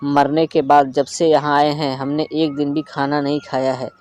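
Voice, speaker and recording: A man's voice: on the high side, level tone, moderate pace, darkish tone, slight background noise, close to an everyday voice. A man voicing a starving, despairing man in hell who complains mournfully. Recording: faint flute music behind.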